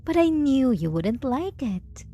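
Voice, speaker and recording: A woman playing a jealous, possessive yet doting lover in an intimate ASMR roleplay, teasing and condescending with deliberate pauses. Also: sits high, very animated, dark tone, dull audio, soft background music, heavily acted. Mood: jealous, reproachful. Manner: slow, teasing, condescending pet-name cooing with deliberate pauses.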